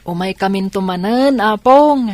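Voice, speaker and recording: A woman's voice: medium pitch, measured pace, darkish tone, no room sound, natural voice. A woman playing a polite, obliging young grandchild in an Ilocano radio drama. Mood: eager.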